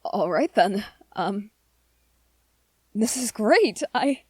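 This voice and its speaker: A woman voicing a shy, earnest, lovestruck young male werewolf in an ASMR romance roleplay, with halting, hesitant, soft-spoken delivery. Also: medium pitch, big pitch swings, slightly rough, no echo, clean studio sound, heavily acted. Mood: shyly happy.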